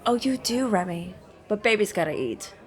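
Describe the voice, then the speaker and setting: A woman's voice: mid-range voice, big pitch swings, moderate pace, close to an everyday voice. A woman playing a tough, sardonic female bounty hunter in an audio drama, blunt and teasing. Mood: coolly menacing.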